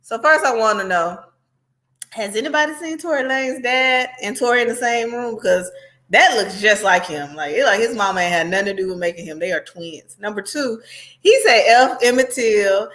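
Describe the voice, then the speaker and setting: A woman's voice: on the high side, expressive, moderate pace, fairly bright tone. A woman hosting casual reaction commentary, talking straight to her viewers in a conversational, sardonic way. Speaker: commentary host.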